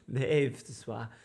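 A man's voice: medium pitch, vocal fry, breathy edge. Laid-back man in his thirties, chuckling. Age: 30s. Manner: easygoing, laughs often, many fillers. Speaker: party organizer. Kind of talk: casual podcast chat.

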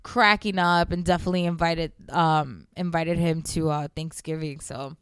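A woman's voice: low-pitched, steady tone, moderate pace, clear, resonant tone, no echo, clean studio sound. A woman co-hosting a chat show, telling anecdotes in a casual conversational style. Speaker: podcast co-host.

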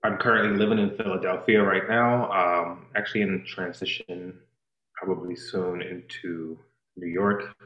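A low-voiced man from Boston, Spanish his first language, talking over his life in an unhurried, thoughtful way. He stops and restarts often, with plenty of fillers. The room gives his voice a noticeable echo. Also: fairly even intonation, dull audio. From Boston, Massachusetts. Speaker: guest choreographer. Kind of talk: casual interview chat. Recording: echoey room.

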